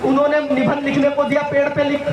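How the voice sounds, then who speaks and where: Man, very high voice, playful comic poet, far-off echoey live sound. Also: monotone, quick pace, noisy background. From Madhya Pradesh, India. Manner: playful storytelling with punchlines. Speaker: stage poet. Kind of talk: comic stage poetry performance.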